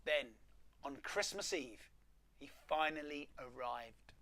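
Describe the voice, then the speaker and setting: A performer reading a rhyming storybook aloud to children at home, with theatrical pauses and emphasis. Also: medium pitch, lively intonation, sharp tone.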